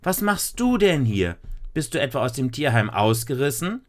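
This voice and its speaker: A man voicing an eager young girl in a children's story, pleading and excited. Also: normal speed, noisy background, lightly acted. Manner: high, pleading, breathless with eagerness.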